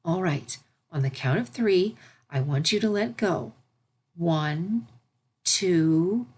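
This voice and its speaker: Woman playing a gentle, low-voiced regal pony princess. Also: lively intonation, slow, husky, exaggerated voice. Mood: encouraging. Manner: patient, instructive, reassuring. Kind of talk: animated fan fiction character reading.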